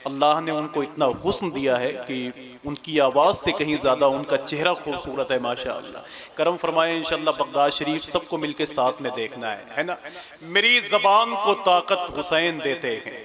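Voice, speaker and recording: A man compèring a religious gathering. He talks to the audience between recited devotional couplets, and he chants each couplet loud, high and drawn out with repetitions. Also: normal speed. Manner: addresses the crowd directly with coaxing asides. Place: live religious gathering with a crowd.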